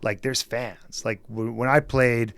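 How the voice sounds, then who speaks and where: A man's voice: mid-range voice, steady tone, unhurried, a bit bright, dry recording. A middle-aged man from Edmonton, Canada, chatting casually and enthusiastically as an interview guest, often adding "man" and "right?". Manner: easygoing, enthusiastic, peppers speech with "man" and "right?". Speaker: guest, former basketball team executive.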